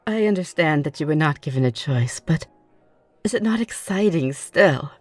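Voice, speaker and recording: Casting: actress for courteous fledgling vampire lady, low voice, close dry sound, hopeful and inquisitive, ASMR roleplay. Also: expressive, normal speed, darkish tone, no room sound. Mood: curious, upbeat. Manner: formal, genteel, reassuring phrasing. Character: optimistic, curious, courteous newly turned vampire woman. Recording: music behind the voice. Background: music.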